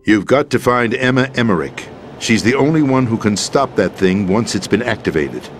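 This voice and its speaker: A man's voice: fairly low pitch, lively intonation, moderate pace, noisy background, close to an everyday voice. An actor playing a resolute, urgent American president in a video game drama, solemn and commanding in his delivery. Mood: grave, urgent. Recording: loud music bed under voice.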